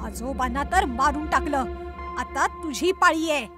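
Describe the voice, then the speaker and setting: Woman acting a slow, menacing, high-voiced ghost. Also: over music. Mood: bitter, vengeful. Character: vengeful female vegetable-seller ghost, menacing and bitter. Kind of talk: horror cartoon acting.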